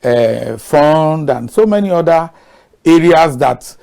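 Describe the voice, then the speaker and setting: A man's voice: a little high, animated, slow pace, vocal fry, dark tone. A man with a Nigerian accent, speaking as a guest on a talk show in an emphatic, repetitive way with folksy phrasing.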